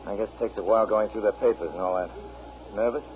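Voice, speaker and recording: A man playing a casual, plain-spoken young husband who is quietly unsure, in 1930s radio acting. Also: lowish voice, quick pace, lightly acted. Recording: dull, noisy 1939 radio recording.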